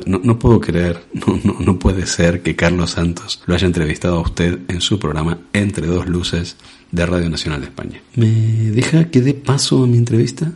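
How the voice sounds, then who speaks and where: Brief: male sketch host, husky dark voice, deadpan. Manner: low, dry, patient deadpan. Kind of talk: comedy sketch.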